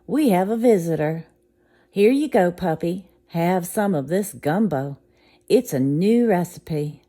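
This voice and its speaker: A woman reads as a cheerful restaurant cook who greets a visitor loudly. The voice sits higher than her own and stays bright and friendly in this storybook reading. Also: animated, slow.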